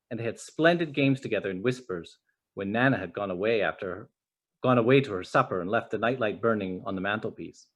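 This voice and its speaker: A man reading a children's story aloud as an expressive narrator. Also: medium pitch. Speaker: storybook reader.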